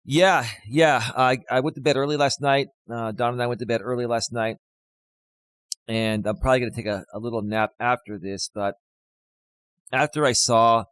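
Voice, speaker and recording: A man hosting his own live stream as a life coach, talking casually to viewers with a slightly hoarse voice and lots of fillers. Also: medium pitch, steady tone, normal speed, fairly bright tone, no room sound. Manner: rambling, many fillers, talks straight to viewers.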